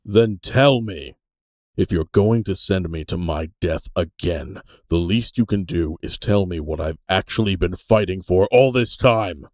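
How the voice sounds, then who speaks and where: A man playing an aging, hardened and defiant keeper detective with a gravelly voice in a fantasy audio drama, grim and intense. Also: sits low, unhurried, a little mellow, dry recording, studio quality. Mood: demanding.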